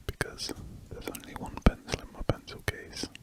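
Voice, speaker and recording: An ASMR drawing host chatting casually in a soft, meandering whisper with hesitant asides. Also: normal speed.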